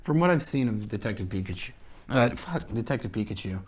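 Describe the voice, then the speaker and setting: A man's voice: lowish voice, animated, a little fast, a little mellow, a little hiss or hum. A man co-hosting a casual chat, chiming in with short, informal agreement. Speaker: podcast co-host.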